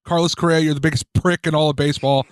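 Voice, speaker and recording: A man's voice: fairly high pitch, fairly even intonation, quick pace, smooth, no room sound, clean studio sound. A young man in a casual sports chat, bluntly listing teams he hates.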